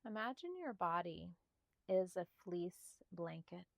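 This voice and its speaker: Soft, calm woman guiding meditation, low-pitched. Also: vocal fry, no room sound. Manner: slow, calm, soothing delivery with spaced short phrases. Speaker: meditation guide. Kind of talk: guided meditation narration.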